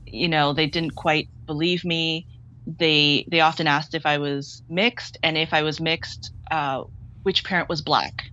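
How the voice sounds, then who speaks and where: A woman filmmaker being interviewed remotely, speaking candidly and haltingly with frequent restarts. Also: deep voice, steady tone, pushed and bright, muffled recording. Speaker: filmmaker guest. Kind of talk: interview over a remote link.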